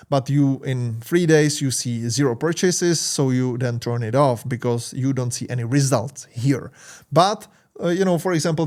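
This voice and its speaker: Tired Czech-accented man, halting tutorial. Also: mid-range voice, a little mellow. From Czech Republic. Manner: halting, many "uh" fillers and restarts. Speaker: marketing channel host. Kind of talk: screen-share tutorial talk.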